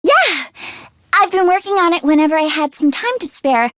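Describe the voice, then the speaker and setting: A woman voicing an anxious, earnest teenage girl mechanic in a video game, with an expressive, hesitant delivery. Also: very high-pitched, normal speed, no room sound, studio quality, heavily acted. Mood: cheerful, encouraging. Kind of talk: English video game voice acting, isolated voice lines.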